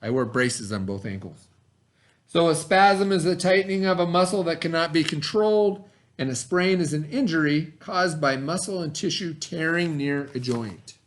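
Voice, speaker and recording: Male teacher, middle-aged, higher-pitched voice, very varied intonation, reading aloud and explaining for children. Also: lively intonation. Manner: instructional, pauses to define and repeat terms. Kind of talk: read-aloud lesson with explanatory asides.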